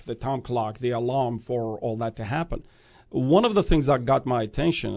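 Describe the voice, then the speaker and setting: A man's voice: mid-range voice, a little mellow, lo-fi sound. A man who grew up in Iraq, a guest speaking about prophecy in an earnest, preaching way, often restarting phrases and rising to emphatic peaks.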